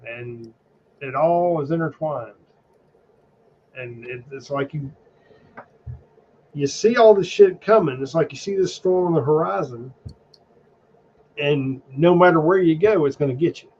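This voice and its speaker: A man's voice: on the high side, a little slow, long pauses. A man hosting a podcast, chatting casually in an opinionated, gloomily musing way. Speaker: podcast host. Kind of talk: casual chat. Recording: dull audio with faint noise.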